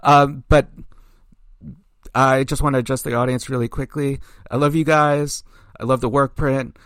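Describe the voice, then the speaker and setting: A hoarse man talks as a podcast guest, rambling and packed with fillers. His voice sits in an ordinary middle pitch, and the recording is close and dry, with no room sound. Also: normal speed, no room sound. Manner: rambling, filler-heavy, animated. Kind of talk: casual podcast chat.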